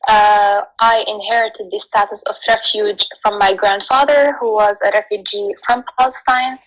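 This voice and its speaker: A woman from Lebanon giving explanatory answers as an interview guest, speaking haltingly with frequent pauses. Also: mid-range voice, poor audio.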